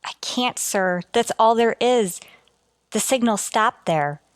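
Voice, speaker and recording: A woman playing a dutiful, earnest starship officer in a radio sci-fi drama, deferential and deliberate. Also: on the high side, expressive, clear, resonant tone, no room sound. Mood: anxious. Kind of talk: golden age radio sci-fi drama acting.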